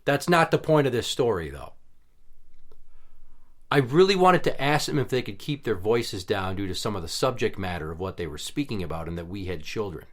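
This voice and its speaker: A man's voice: on the low side, animated, a little fast. Blunt, profane male podcast host. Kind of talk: casual podcast chat.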